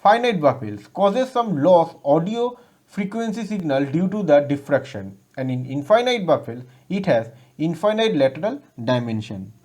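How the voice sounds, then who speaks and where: A man's voice: fairly high pitch, big pitch swings, normal speed, a little mellow. A man with an Indian accent giving a formal, scripted technical lesson as a lecturer, in a recited textbook manner. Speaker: lecturer presenting an electronics lesson. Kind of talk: scripted educational presentation.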